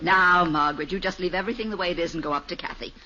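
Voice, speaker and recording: An actress playing a haughty, easily offended married woman in old-time radio comedy, imperious, precise and sharp-tongued. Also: on the low side, racing, loud music behind the voice, natural voice. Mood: brisk, bossy. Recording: muffled old radio recording, faint hiss.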